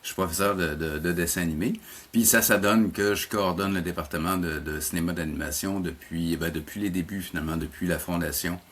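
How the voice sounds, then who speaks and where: A middle-aged man with a Quebec French accent, an interview guest explaining things in a measured way and rephrasing as he goes. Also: low voice, steady tone, fairly bright tone. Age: middle-aged or older. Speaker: interview guest, animation teacher.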